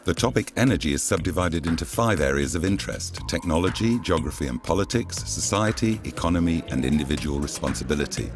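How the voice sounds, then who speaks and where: A man reading a scripted promotional voice-over as a documentary narrator, in a measured, formal and informative way. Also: low voice, lively intonation. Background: music bed.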